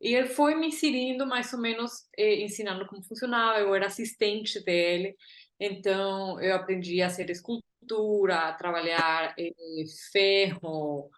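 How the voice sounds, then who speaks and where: A woman's voice: mid-range voice, moderate pace, fairly bright tone. Guatemalan-accented woman in an echoey room. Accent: Guatemalan Spanish. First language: Spanish. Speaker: interview guest, artist and curator. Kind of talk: casual conversational storytelling. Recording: roomy, echoey sound.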